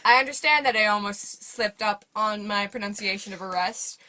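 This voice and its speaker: Bright-voiced giggly young woman with a speech impediment. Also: mid-range voice, moderate pace. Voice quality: speech impediment, sounds like baby talk. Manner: rambling, giggly, lots of fillers. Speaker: movie review co-host. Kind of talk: casual chat.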